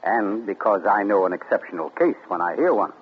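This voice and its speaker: A sinister, husky, low-voiced scholar who mocks his visitor; muffled old radio sound. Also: expressive, a little fast. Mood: sinister, amused. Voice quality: husky, mocking chuckle. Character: sinister amused male scholar of poisoners. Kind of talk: 1940s radio acting. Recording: muffled 1940s radio broadcast.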